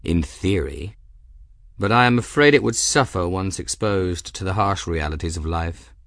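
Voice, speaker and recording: A man voicing a composed, formal captain who speaks courteously and smoothly. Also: low-pitched, animated, normal speed, fairly bright tone, no room sound. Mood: formal, guarded.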